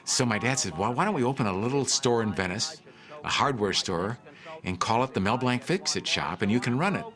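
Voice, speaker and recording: A man's voice: medium pitch, expressive, a little fast, fairly bright tone, faint music behind. An older American man casually recalling family memories as an interviewee. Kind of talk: casual reminiscing.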